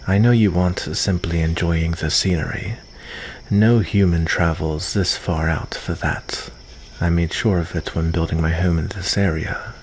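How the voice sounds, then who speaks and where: A man playing a very deep, slow-talking vampire. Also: fairly even intonation, slight background noise. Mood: wary, warning. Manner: unhurried, soft-spoken formal phrasing with dry teasing. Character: calm, lonely, guarded male vampire living in the forest. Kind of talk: ASMR roleplay acting. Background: cricket ambience.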